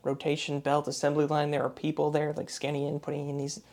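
A college-radio host talks with a guest in a relaxed interview. The host rambles through questions, leaning on "like" and "you know," curious and chatty. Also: mid-range voice, fast talker. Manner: curious, rambling, many likes and fillers.

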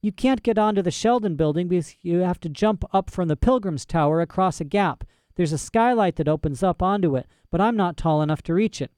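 Brief: man reading as a defiant little girl, raised pitch, soft mellow tone, dry close sound. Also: expressive, a little fast, few pauses, smooth, dry recording. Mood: eager. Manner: mumbling, evasive, then eager. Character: curious defiant young girl.

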